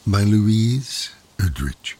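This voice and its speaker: A man giving a dramatic poetry recitation in a brooding, hushed manner that dips into whispers, over music. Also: on the low side, lively intonation, very slow, a little hiss or hum. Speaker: poetry reader. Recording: music bed under the voice. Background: music and sound effects.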